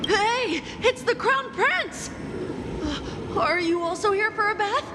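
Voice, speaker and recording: High-voiced, earnest young kid. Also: female voice, expressive, slow, background noise, heavily acted. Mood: excited. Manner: earnest, childlike delivery. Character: bold, earnest young child of warriors. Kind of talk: video game voice acting. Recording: loud music over the voice.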